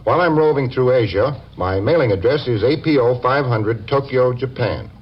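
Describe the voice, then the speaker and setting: A man's voice: mid-range voice, normal speed, breathy edge. A man from the American Midwest, a foreign correspondent, introducing himself in a conversational, plainspoken way. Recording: dull lo-fi 1950 radio broadcast.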